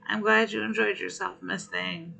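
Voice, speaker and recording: A man hosting a relaxed livestream, greeting viewers one by one while his voice is going hoarse and rough. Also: high-pitched. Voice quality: rough, gravelly. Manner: unhurried, friendly greetings between pauses. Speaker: livestream host. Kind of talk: relaxed gaming stream chat, greeting viewers.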